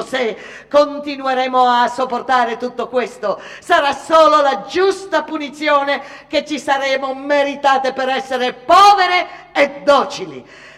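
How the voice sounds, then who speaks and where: A woman's voice: sits high, pitched above the performer's own voice. A woman voicing a fiery young female striker giving a declamatory protest speech to factory workers. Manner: declamatory, shouting slogans. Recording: long reverberant hall.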